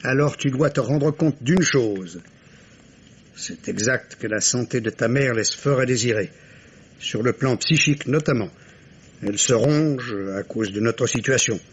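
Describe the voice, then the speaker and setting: An actor plays a middle-aged father in an old French radio mystery. He has a medium-pitched voice with a condescending, theatrical and irritable delivery. There is clear noise on the vintage recording. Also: a little slow, dull audio, natural voice. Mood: patronising.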